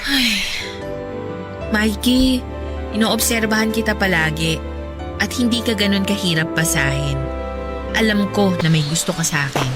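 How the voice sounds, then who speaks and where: A woman's voice: mid-range voice, expressive, normal speed, pauses often, natural voice. A woman acting as Jade, a frank, understanding, mature young woman in a Tagalog radio drama, with a Filipino accent and a reassuring manner. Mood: probing, teasing. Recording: music behind the voice.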